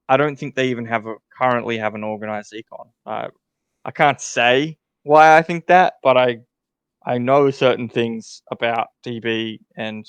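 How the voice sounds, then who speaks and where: A man's voice: medium pitch, gravelly, smooth, no room sound. A man co-hosting a casual podcast chat who thinks aloud with many fillers and restarts. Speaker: podcast co-host ranking game alliances.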